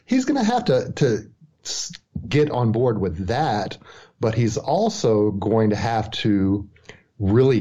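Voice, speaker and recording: Mellow middle-aged man, slightly muffled audio. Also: breathy edge. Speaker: podcast co-host. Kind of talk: casual sports and culture commentary. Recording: dull, low-fidelity audio.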